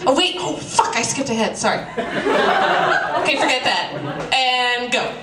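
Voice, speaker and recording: A woman's voice: high-pitched, lively intonation, measured pace. A woman reading live at a comedy show, theatrical and sarcastic, with mock-dramatic delivery and wry asides. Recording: distant echoey room mic, loud background noise.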